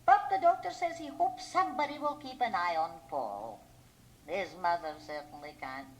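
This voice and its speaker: An actress playing a practical, stern small-town mother who worries over her neighbours, brisk and earnest, in a radio drama. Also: on the low side, expressive. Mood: concerned, pitying. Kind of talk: 1980 radio drama acting. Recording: noisy home cassette copy of radio drama.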